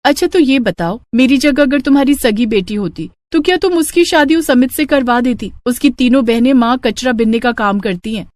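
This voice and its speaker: Woman acting a spirited, animated young village bride. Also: sits high, fairly quick, few pauses, dry recording, lightly acted. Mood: indignant. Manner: animated, expressive. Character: spirited young village daughter and bride, complaining yet hopeful.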